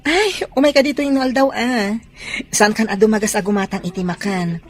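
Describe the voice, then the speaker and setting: A woman's voice: medium pitch, normal speed, close to an everyday voice. A woman playing an affectionate, fretful young sweetheart in an Ilocano comedy drama. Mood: doting.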